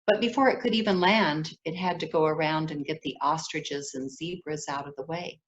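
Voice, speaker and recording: A woman's voice: sits low, moderate pace, highs cut off. An older woman with an American accent, a children's book author telling personal stories in a talk for young listeners. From grew up in Ethiopia as an American child.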